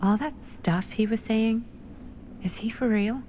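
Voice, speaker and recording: A woman's voice: a little high, unhurried, dark tone, slight background noise, close to an everyday voice. An actress playing a blunt, questioning, feisty young woman in a cinematic horror game. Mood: uncertain. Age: young adult.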